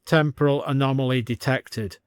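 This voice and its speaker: A man's voice: mid-range voice, steady tone, moderate pace, smooth, no echo, studio quality, natural voice. A man voicing a cold wicker monster that speaks in clipped, robotic, official commands. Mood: cold, menacing. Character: cold, mechanical wicker man liquidator creature.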